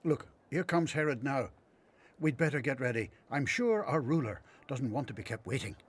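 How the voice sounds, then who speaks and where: An actor playing an elderly temple priest in a radio play, anxious and devoted, with an earnest, tender way of talking. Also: male voice, medium pitch, lively intonation, moderate pace, lightly acted. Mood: worried.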